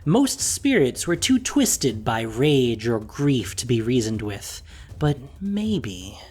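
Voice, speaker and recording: Slow storyteller voice, lots of up and down, reading fantasy prose. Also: mid-range voice, husky. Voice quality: measured, expressive storytelling. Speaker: narrator. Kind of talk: scripted fantasy story narration.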